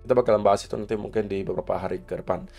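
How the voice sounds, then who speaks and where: Hoarse man talking through market data. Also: fairly low pitch, steady tone, very fast, a little mellow, soft background music. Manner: explains steadily, mixing English market terms. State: hoarse from a cough. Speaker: crypto market commentator. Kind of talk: casual market analysis to viewers.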